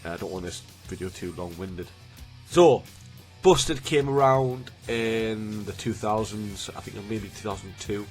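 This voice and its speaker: A young man with a slightly blocked, snotty voice from a cold, speaking in a fairly low register. He chats loosely to his viewers, circling back with ums and restarts. A faint strand of music sits quietly behind him. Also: measured pace. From Newcastle, England. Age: 20s. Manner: rambling, chatty, with frequent ums and restarts. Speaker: YouTuber. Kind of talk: casual chat to camera.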